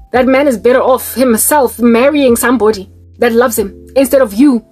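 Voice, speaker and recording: A woman's voice: on the high side, a little mellow, slightly rough. A South African woman in her late thirties, preaching to her viewers in an exhorting, rhetorical monologue. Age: 30s.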